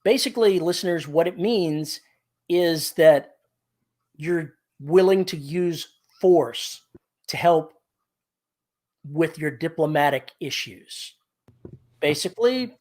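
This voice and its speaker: A man's voice: on the high side, unhurried, pauses often, a little mellow. A man co-hosting a podcast, chatting casually, explaining terms to listeners and joking dryly. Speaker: podcast co-host. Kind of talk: casual chat.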